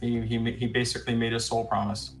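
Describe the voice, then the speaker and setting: A man playing a tabletop game, halting with restarts and fillers as he describes his character. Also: mid-range voice, level tone, noisy background. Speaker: player. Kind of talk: casual tabletop play.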